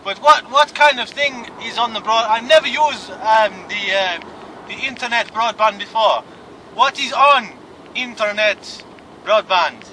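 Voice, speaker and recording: A bumbling, dim-witted caller who rambles and plays confused, put on by a man. The voice sits very high and sounds bright and sharp, with a faint hiss behind it. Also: a little slow, low-quality recording. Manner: bumbling, absurd, feigned confusion. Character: dim foreign-sounding male caller Ravosh. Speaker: prank caller.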